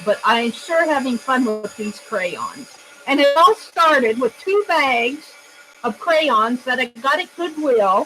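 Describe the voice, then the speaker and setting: A woman hosting an art livestream in casual chat, with a loud, projected voice, rambling and thinking aloud as she demonstrates. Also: high-pitched, a little hiss or hum, rough recording.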